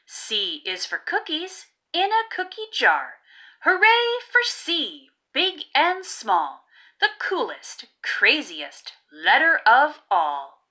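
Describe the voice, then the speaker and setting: A woman reads a storybook aloud for little children with hugely varied, swooping intonation. Her voice is bright and a bit high, and she enunciates each phrase deliberately. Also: huge pitch swings. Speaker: children's read-aloud host. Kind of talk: picture-book read-aloud for young children.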